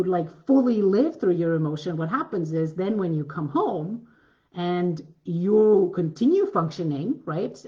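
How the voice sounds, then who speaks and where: A woman with a deep voice giving a coaching masterclass, earnest and repetitive, often restarting her sentences. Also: a little mellow, dull audio. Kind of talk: masterclass talk.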